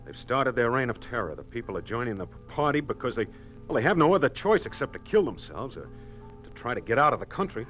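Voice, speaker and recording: Grave, resolute husband, dramatic 1950s radio acting, widely varied pitch. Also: male voice, sing-song, quick pace, a bit bright, dull audio, faint music behind. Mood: grim, resolute. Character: grave, principled husband resisting a regime.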